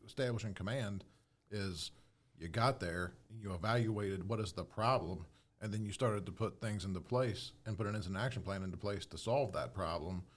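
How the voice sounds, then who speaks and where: A man explaining procedures in a casual panel discussion, thinking aloud and repeating words as he goes. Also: low-pitched. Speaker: podcast panelist and fire service instructor.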